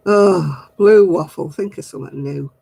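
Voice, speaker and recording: A man's voice: on the high side, normal speed, creaky, mellow, slightly rough, dry recording. A middle-aged man, hosting a casual craft livestream and chatting with viewers in a giggly, rambling way. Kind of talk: casual chat answering viewer comments.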